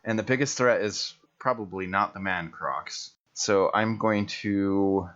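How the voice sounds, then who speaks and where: Male American tabletop player, lowish voice, casual and tentative, a little dull on the recording. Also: measured pace, fairly bright tone. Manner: hesitant, thinking aloud. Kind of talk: casual tabletop game chat.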